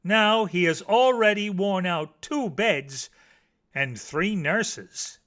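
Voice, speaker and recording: A man's voice: high voice, animated, slow pace, a bit bright. A man reading children's stories aloud as scripted narration, in a theatrical, emphatic way that savours the words. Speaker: storybook reader.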